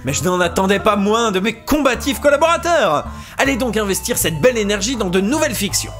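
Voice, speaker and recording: An actor playing a scheming start-up boss in an audio comedy, pompous and unctuous, flattering rebellious staff with theatrical corporate talk. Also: medium pitch, brisk, faint music behind, natural voice. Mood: smooth, reassuring. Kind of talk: French amateur audio comedy acting.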